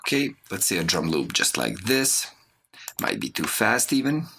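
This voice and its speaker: A man with a low, creaky voice teaches audio software step by step. His pitch moves around in a lively way as he explains, with keyboard and mouse clicks behind him. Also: moderate pace, background noise. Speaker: audio production course instructor. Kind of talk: software tutorial narration. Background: mouse clicks and computer keyboard typing.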